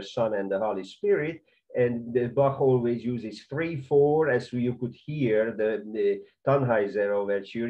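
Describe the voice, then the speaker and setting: A middle-aged man with a Hungarian accent, a conductor giving an informal music history lecture from his home office, enthusiastic, with halting non-native phrasing. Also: mid-range voice, mellow. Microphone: webcam at desk. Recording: dull lo-fi webcam audio.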